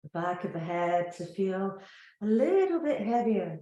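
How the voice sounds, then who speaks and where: A woman's voice: mid-range voice, big pitch swings, highs cut off. Woman guiding relaxation in an echoey room. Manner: gentle, unhurried, soothing, with long pauses. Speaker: yoga nidra teacher. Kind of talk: guided relaxation meditation.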